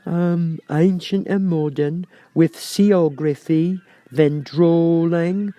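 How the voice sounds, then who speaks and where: A man voicing a mournful, self-pitying old turtle creature in a storybook reading, with drawn-out, sighing, doleful delivery. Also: a little high, warm tone, no room sound, pitched above the performer's own voice. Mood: wistful. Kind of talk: storybook character voice.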